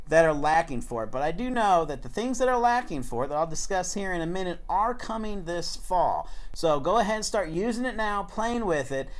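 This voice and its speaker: Lively, animated man presenting a tutorial. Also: fairly high pitch, dry recording. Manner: enthusiastic, emphatic. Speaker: educator demonstrating software.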